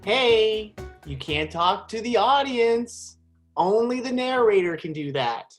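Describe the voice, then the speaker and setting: A woman's voice: medium pitch, expressive, slow pace, faint music behind. A woman, the play's writer and director, speaking to the audience in a flustered way. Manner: flustered, breaks the fourth wall. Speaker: playwright and director.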